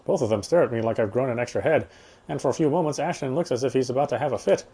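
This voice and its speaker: A man's voice: medium pitch, very fast, dark tone, slightly rough. A man reading game text aloud as a player, in the style of a let's play with casual commentary.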